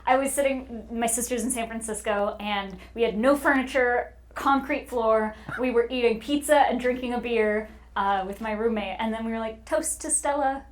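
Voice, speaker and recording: Woman, voice actor on a panel, fairly high pitch, natural conversational storytelling. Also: normal speed, hardly any pauses. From United States and United Kingdom. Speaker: voice actress, podcast guest. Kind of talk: casual personal storytelling.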